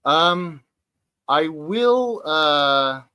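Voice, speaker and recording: Slow, bright-voiced hesitant man at forty. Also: on the high side, animated, clear, resonant tone. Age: 40s. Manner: hesitant, many fillers and restarts; reads out viewer comments. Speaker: livestream host. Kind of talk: casual livestream chat. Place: at home, sitting on the floor.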